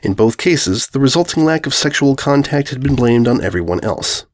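A man's voice: mid-range voice, expressive, moderate pace. Man narrating with a dry, wry delivery. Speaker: story narrator. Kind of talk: scripted narration of comic fan fiction.

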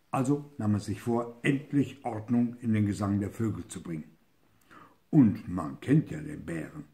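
An older man reading a fairy tale aloud expressively and deliberately, with dramatic pauses, as a storyteller. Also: on the low side, warm tone. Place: book-lined living room, seated in an armchair.

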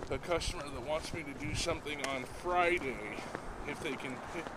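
A man in his thirties with a raspy voice, talking casually to a handheld camera while walking outdoors, rambling and self-deprecating. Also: a little high, normal speed, very noisy. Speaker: vlogger. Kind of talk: casual daily vlog. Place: outdoors by a road and parking lots. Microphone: handheld camera at arm's length.

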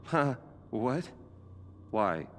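An actor playing a weary, awkward middle-aged American scientist in a cinematic game, hesitant and skeptical. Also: male voice, mid-range voice, sing-song, drawn-out words, fairly bright tone, slight background noise, heavily acted. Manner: hesitant, mumbling, stammering. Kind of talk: cinematic video game acting.

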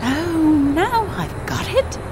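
A curious young lady explorer, speaks high and unhurried with lively swings of pitch. It is kindly, wondering video game acting. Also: background noise, heavily acted. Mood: wondering, tender.

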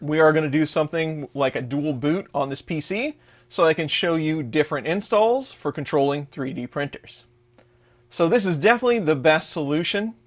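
A man in his thirties hosting a tech channel, explaining a software install step by step in a home workshop. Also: a little high. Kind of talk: screen-recorded tutorial walkthrough.

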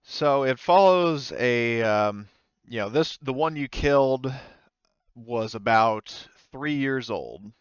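Man, game master, smooth clear tone, hesitant improvised explaining, close dry slightly dull recording. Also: medium pitch, slow pace, dry recording. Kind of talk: improvised tabletop game narration.

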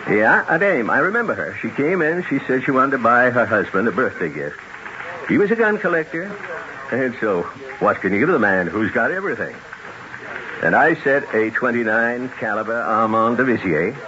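Brash, animated gun shop owner. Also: male voice, medium pitch, moderate pace. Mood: brash, boastful. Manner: brash, slangy, grumbling. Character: irritated, talkative gun shop owner, a man. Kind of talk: 1970s radio drama acting. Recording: muffled, noisy 1970s radio recording.

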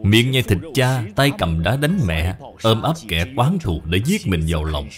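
A man voicing a solemn, knowing Buddhist mentor in a moral cartoon, speaking in a measured, instructive way. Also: on the low side, sing-song, faint music behind. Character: solemn, knowing Buddhist senior brother teaching karma. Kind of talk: dubbed moral cartoon dialogue.

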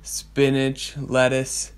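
A man's voice: mid-range voice, completely flat delivery, extremely slow, clean tone. A young man in a small bedroom, answering questions about his fiancée in a joking, easygoing way, picked up by a camera on a tripod. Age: young adult. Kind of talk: casual couple chat.

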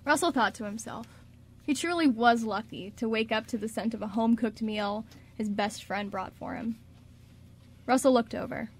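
A woman's voice: a little high, normal speed. A woman narrating a scripted story for a radio drama.

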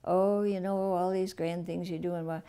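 Woman in her eighties, halting and searching for words, lowish mellow tone. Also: little pitch movement, clean tone. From Milwaukee, Wisconsin. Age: 80s. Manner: hesitant, many fillers. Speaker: retired nun. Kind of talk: documentary interview. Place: indoor room. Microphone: lapel.

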